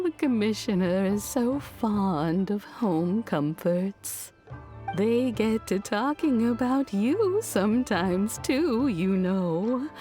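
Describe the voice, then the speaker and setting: Slow, mellow, gracious maidservant. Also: female voice, a little high. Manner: fond, genteel, measured. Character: gentle, gracious, affectionate household maidservant. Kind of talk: anime game voice acting.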